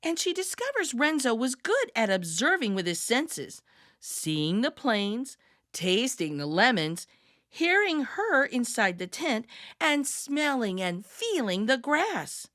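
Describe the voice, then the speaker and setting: A woman reading a picture book aloud to young children with expressive, deliberate delivery and dramatic emphasis. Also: on the high side, dry recording, studio quality. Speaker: children's read-aloud storyteller.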